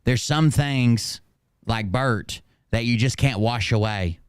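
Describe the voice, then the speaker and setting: A man with a nasal voice, hosting a livestream and making dry, teasing comments. His voice comes through smooth and clean, recorded close in a dead, dry space. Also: mid-range voice, takes it slowly, no room sound. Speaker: livestream host. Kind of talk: casual reaction commentary.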